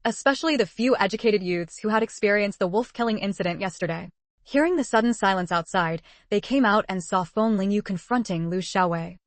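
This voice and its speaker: A woman narrating a translated web novel in an even, measured storytelling voice. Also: mid-range voice, no echo. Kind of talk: scripted audiobook narration.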